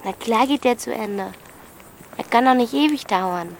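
A woman's voice: a little high, animated, measured pace, slight background noise. A woman playing a blunt, bossy thirteen-year-old girl in a naturalistic radio drama. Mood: reassuring. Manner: blunt, colloquial, questioning.

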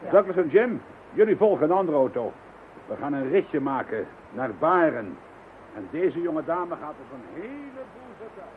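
An actor playing a headstrong, insistent chief police inspector in a radio drama. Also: male voice, fairly high pitch, sing-song, moderate pace. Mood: commanding. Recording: tinny, band-limited, hissy radio play recording.

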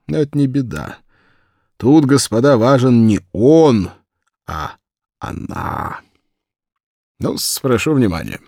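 A man's voice: mid-range voice, darkish tone, no echo, clean studio sound. A man voicing a very fat hunter in an audiobook, a self-satisfied raconteur with a grand declamatory manner. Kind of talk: audiobook character storytelling.

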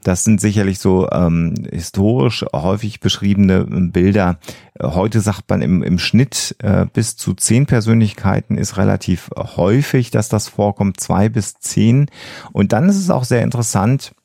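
A man explaining things as a podcast host in a halting way, with frequent hesitations. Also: fairly low pitch, creaky, dark tone, no echo, studio quality. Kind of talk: explanatory science podcast talk.

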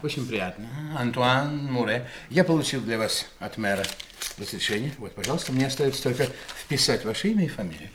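An actor playing a courteous, slightly fussy small-town official with formal manners in a theatrical radio play. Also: male voice, mid-range voice, animated, fairly quick, noisy background, natural voice. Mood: polite, officious. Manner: polite, formal.

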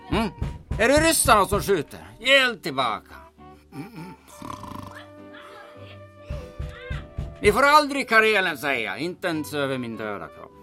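A man's voice: high-pitched, very animated, bright, forceful tone, soft background music, exaggerated voice. An actor playing a muddled, cranky older forger in old-time radio comedy style, rambling and grumbling as he goes. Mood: muddled, alarmed.

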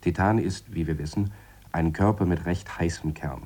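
Deep, dry-voiced male scientist. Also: darkish tone, breathy edge. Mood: earnest, reasoning. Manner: measured, dry, explanatory. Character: Harry, deliberate, wry male scientist explaining his theories. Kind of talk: radio play dialogue in a reflective, lecturing tone.

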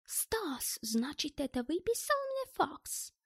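Женщина озвучивает в аудиокниге пожилого робкого и эмоционального немца, который с немецким акцентом говорит на ломаном языке. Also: female voice, high voice, lively intonation, vocal fry, no echo, studio quality, pitched above the performer's own voice. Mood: plaintive, distrustful. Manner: plaintive, emotional, halting foreign phrasing.

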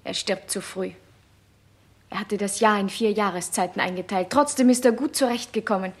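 A woman's voice: mid-range voice, normal speed, husky, close to an everyday voice. An actress in a 1960s German radio play playing a tender, devoted young queen, earnest and lamenting. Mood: loving, grieving.

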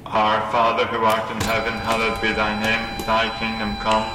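A man leading rosary prayers, reciting them in a steady monotone. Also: fairly low pitch, moderate pace, heavy background noise. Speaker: prayer leader. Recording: echoey hall, loud music over it.

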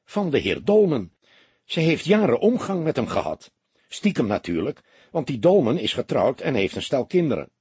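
Guy reading earnest young lad reporting to a cop, hesitant and polite, very husky, animated. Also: mid-range voice, moderate pace, no room sound, pitched above the performer's own voice. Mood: hesitant, revealing. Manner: hesitant, deferential, explanatory. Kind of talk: audiobook character dialogue.

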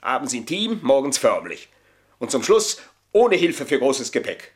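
An older actor playing an embittered, boastful manservant in a German radio play, speaking in a florid, mocking, theatrical way. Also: male voice, mid-range voice, big pitch swings, measured pace. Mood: bitter, confiding. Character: aging, embittered, boastful manservant taunting his mistresses.

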